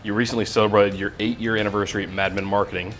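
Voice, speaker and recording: A man with a low voice hosts a casual, jokey food-challenge interview. He speaks as the interviewer in an informal, conversational style. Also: little pitch movement, very fast, fairly bright tone, soft background music. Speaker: host interviewer.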